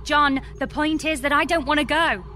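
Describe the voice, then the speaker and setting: A woman's voice: high-pitched, normal speed, sharp tone, faint music behind. A woman playing an exasperated, pleading space engineer with a dry, emphatic manner in a comedy audio drama. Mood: dry exasperation.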